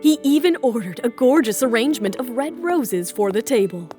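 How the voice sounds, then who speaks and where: A woman's voice: a little high, expressive, normal speed, dark tone. A woman giving dramatic scripted narration for an audio romance story. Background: faint music.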